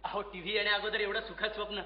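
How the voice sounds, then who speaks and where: Actor playing an excitable high-pitched family man, echoey stage. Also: very fast, close to an everyday voice. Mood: bossy, exasperated. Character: excitable Marathi family man, father who won a television. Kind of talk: amateur comedy stage acting. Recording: echoey, muffled stage recording, faint noise.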